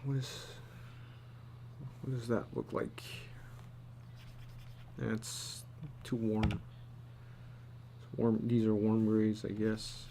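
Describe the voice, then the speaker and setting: A man giving a casual drawing tutorial, thinking aloud in a halting, muttering way as he works. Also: fairly low pitch, flat delivery, vocal fry, dark tone, a little hiss or hum. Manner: halting, muttering to himself while working. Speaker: storyboard artist, tutor.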